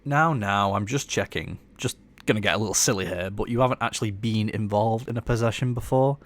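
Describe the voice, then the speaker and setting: A man's voice: lowish voice, fairly quick, fairly bright tone, natural voice. An actor playing a blunt, irreverent tech guy in an audio drama, slangy and informal. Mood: teasing doubt.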